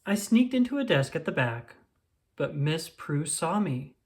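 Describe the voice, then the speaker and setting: A storyteller reading a children's book aloud in an expressive, deliberate way, drawing out words. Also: mid-range voice. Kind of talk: storybook read-aloud, first-person child narrator.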